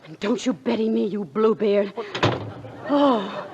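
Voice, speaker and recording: An actress playing a spirited young wife and new mother on a farm, dramatic and quick to scold, in old film comedy style. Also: mid-range voice, expressive. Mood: indignant. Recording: dull, noisy old film soundtrack.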